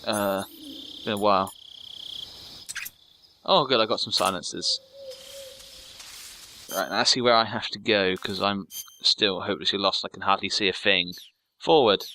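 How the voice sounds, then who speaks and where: A man's voice: fairly low pitch, fairly even intonation, normal speed, long pauses, bright, forceful tone, slight background noise. A man giving casual, sarcastic, self-deprecating commentary while playing a video game. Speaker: let's play host.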